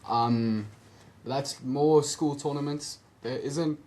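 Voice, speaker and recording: A man's voice: medium pitch, steady tone, takes it slowly, warm tone. Teenage South African guy, Afrikaans native. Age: teens. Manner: hesitant, lots of ums, friendly. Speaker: guest, junior tennis player. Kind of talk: casual interview answers. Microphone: video call.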